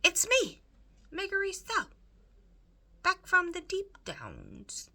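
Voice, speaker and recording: A woman voicing a simple, slow-witted servant girl from a storybook, with rustic ungrammatical speech. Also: extremely high pitch, huge pitch swings, pushed and bright, voice pushed up, exaggerated voice. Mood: loud, excited. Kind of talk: animated children's storybook character voice.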